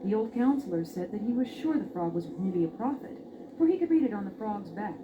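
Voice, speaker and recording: A woman narrates a children's fairy tale with a very animated delivery. Her voice sits a little high. The old recording is dull, with clear noise throughout. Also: a little fast.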